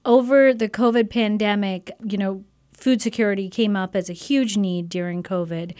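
A woman's voice: mid-range voice, steady tone, normal speed, darkish tone. Earnest middle-aged woman guest. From Sri Lanka. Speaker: physician and volunteer guest. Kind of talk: studio interview answers.

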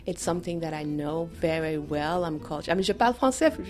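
Woman, low and expressive. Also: brisk, faint music behind. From Benin. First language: French. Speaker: business owner. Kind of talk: interview soundbites.

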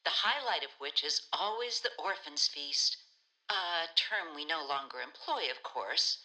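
A woman's voice: sits low, lively intonation, unhurried, bright, forceful tone, full character voice, rough recording. A woman playing a wry, teasing social services worker in a community radio play. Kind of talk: community radio drama acting.

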